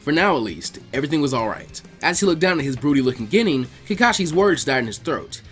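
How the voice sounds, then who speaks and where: A man narrating fan fiction aloud in an expressive, scripted storytelling style. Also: a little high, fast, faint music behind.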